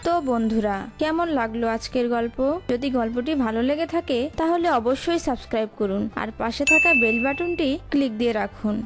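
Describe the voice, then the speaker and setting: Female channel presenter, higher-than-average pitch, scripted sign-off with music underneath. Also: fairly quick.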